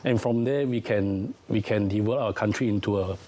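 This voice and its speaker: Earnest Malaysian man with a mellow voice. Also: on the low side. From Sarawak, Malaysia. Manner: earnest, halting, with many fillers. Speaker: guest, film director. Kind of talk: talk show interview answer.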